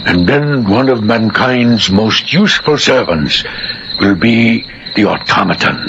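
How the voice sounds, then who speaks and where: Low-voiced earnest inventor, noisy old recording. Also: male voice, lively intonation, muffled recording. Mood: resolute. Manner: measured, earnest. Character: determined, idealistic male inventor, calm and grave. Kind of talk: 1950s radio drama acting.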